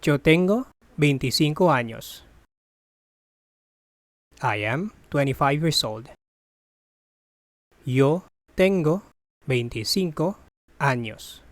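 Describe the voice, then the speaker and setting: A teacher reading a phrasebook drill. Each phrase is said once, translated, then repeated slowly in parts. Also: medium pitch, lively intonation, dry recording. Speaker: language teacher.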